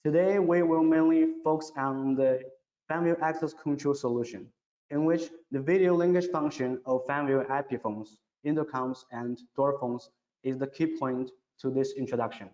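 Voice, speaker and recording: A man presenting a scripted product webinar in a careful, halting way, now and then repeating words. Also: mid-range voice, dark tone. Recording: dull, echoey, reverberant online webinar audio.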